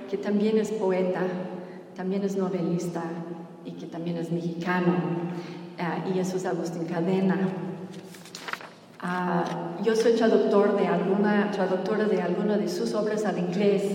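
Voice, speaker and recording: A middle-aged woman, a native English speaker with an English-speaking accent, gives a public book talk as a novelist, in a halting, careful second-language delivery. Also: mid-range voice, warm tone. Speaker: novelist presenting her book. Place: large reverberant room before an audience. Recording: echoey hall, distant mic, faint noise.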